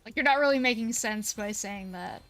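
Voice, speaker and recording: A woman's voice: fairly high pitch, normal speed, a bit bright, faint music behind. A woman streaming a game, chatting casually and arguing her point with many fillers. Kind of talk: casual chat while gaming.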